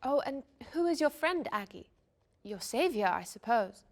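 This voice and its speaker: Woman playing a high-voiced motherly tribeswoman. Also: sing-song, moderate pace, full character voice. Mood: curious, gentle. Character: gently probing motherly tribeswoman. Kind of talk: table read of a fantasy audio drama.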